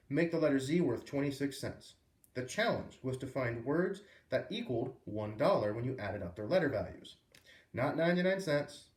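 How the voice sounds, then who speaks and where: A man reading a children's book aloud as a storyteller, with expressive, emphatic phrasing. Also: medium pitch. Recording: roomy echo, distant microphone.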